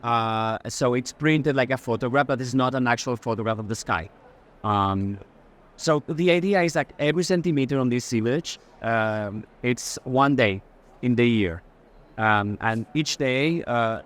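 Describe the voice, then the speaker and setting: A man speaking as a gallerist, informally explaining artworks live, pausing often to find his words. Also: mid-range voice, fairly bright tone. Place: art fair booth.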